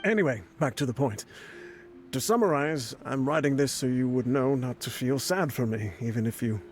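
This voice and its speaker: An actor voicing a frail, ailing older man writing a farewell letter, dry and joking with soft laughs. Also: mid-range voice, moderate pace, soft background music, natural voice. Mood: wry. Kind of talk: game voice acting.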